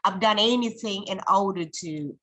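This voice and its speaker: A woman's voice: mid-range voice, normal speed, a bit bright. A woman from Zambia, a guest in an interview, telling her story in an earnest, reflective way with frequent restarts. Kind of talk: conversational interview.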